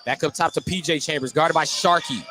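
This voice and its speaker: A male play-by-play announcer with a fairly high voice calls a basketball game live for radio. Clear gym noise runs behind him as he follows the action on the court. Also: fairly quick. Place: indoor school gymnasium. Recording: noisy gym ambience, bouncing balls.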